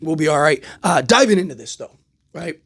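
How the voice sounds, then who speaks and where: Hoarse man chatting about basketball. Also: fairly high pitch, lively intonation, fairly quick. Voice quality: frequent "right?" tags and filler words. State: hoarse, losing his voice from a cold. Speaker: basketball podcast host. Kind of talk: casual solo sports commentary.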